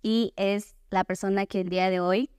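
A woman's voice: mid-range voice, fairly even intonation, moderate pace, darkish tone, clean tone, no echo. Ecuadorian woman hosting a podcast, dry close sound. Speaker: podcast host.

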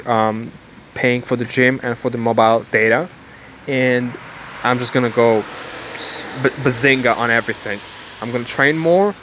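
A man's voice: mid-range voice, fairly bright tone. Young man, casual vlog, rambling motivational talk, faint background hum. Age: young adult. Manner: rambling, repetitive pep-talk. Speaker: vlogger. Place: outdoors in the cold.

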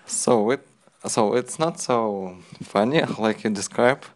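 A man with a Russian accent, a native Russian speaker, talking casually in halting, searching English in a conversational interview. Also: mid-range voice. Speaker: interviewer and language learner.

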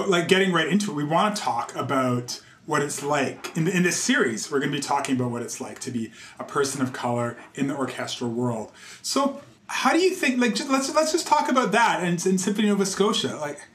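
A man's voice: a little high, fairly bright tone. A young adult man interviewing a fellow conductor, hesitant and full of restarts and "like". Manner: hesitant, restarts often, says "like" a lot. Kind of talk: conversational interview. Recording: roomy with faint ambience.

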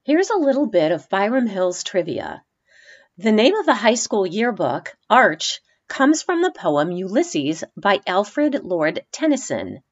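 Lively woman presenting on a podcast. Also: lowish voice, muffled recording. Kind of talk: scripted narration and poetry reading.

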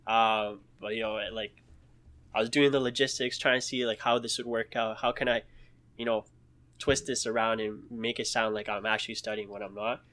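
A man's voice: fairly low pitch, little pitch movement, normal speed, fairly bright tone. A young man telling stories from his youth in a casual podcast chat, laid back, full of slang and laughing through his stories.

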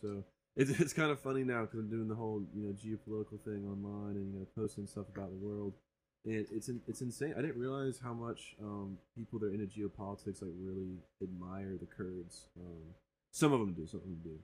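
A young man co-hosting a casual podcast, talking in a halting way with many ums and restarts. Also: fairly low pitch, steady tone, darkish tone, a little hiss or hum, poor audio. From grew up in northern Iraq. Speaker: podcast co-host.

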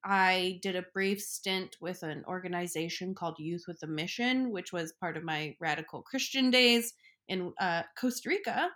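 A woman's voice: fairly low pitch, normal speed, sharp tone. Young woman guest, reflective, chatty storyteller, casual podcast talk. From Ecuador. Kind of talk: casual chat.

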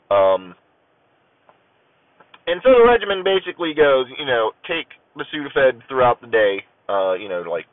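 A man from Ohio hosting his own podcast, rambling casually with many ums, stuffy and clearing his throat as if getting a cold. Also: on the low side, no room sound. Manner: rambling, many ums and uhs, self-deprecating. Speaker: podcast host. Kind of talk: casual solo podcast chat. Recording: tinny phone-like audio.

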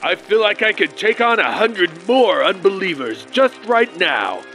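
Guy acting zealous crusader knight, pompous fake olde speech, high and very animated, old radio play. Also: measured pace, soft background music, full character voice. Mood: zealous, grand. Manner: stagey mock-archaic declaiming.

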